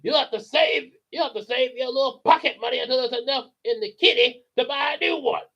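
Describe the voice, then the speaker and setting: A man voicing a gruff, bullying father who barks and mocks in a raised, shouting voice. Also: very high-pitched, fairly quick, voice pushed up, exaggerated voice. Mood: mocking. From United States. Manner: barking, shouting, mocking. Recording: dull lo-fi recording.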